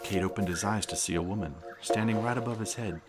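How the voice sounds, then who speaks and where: A man's voice: lowish voice, fast, heavy background noise, close to an everyday voice. A man playing a grandfather who narrates an adventure story in an audio drama. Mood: suspenseful storytelling.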